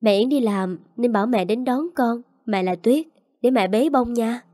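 Woman doing a gentle, polite young mother. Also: a little high, moderate pace, no echo, studio quality, natural voice.

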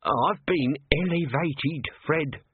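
A man's voice: fairly high pitch, expressive, unhurried, a little hiss or hum, voice pushed up. A man voicing a scruffy male corporal who moans in a plaintive, put-upon way. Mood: moaning. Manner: moaning, plaintive. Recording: tinny narrow-band, dry.

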